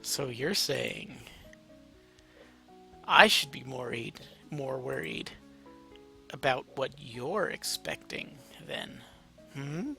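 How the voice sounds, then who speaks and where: Man as a story character, male suitor, casual, teasing, creaky, bright. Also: medium pitch, expressive, slow, long pauses, soft background music, full character voice.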